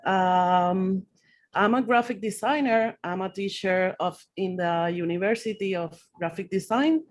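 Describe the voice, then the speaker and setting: A woman's voice: medium pitch, a little slow. Puerto Rican woman, rambling with fillers. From Puerto Rico. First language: Spanish. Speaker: graphic design teacher. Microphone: video call.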